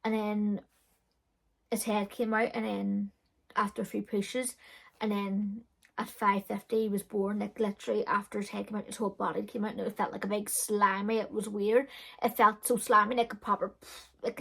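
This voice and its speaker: A young woman recounts her own story in a loose, chatty vlog manner, peppering it with fillers. Her voice is loud, with a gravelly, creaky edge running under mid-range pitch. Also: flat delivery, moderate pace, rough recording. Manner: chatty, frank, with frequent fillers and laughs. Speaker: beauty vlogger. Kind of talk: casual storytelling.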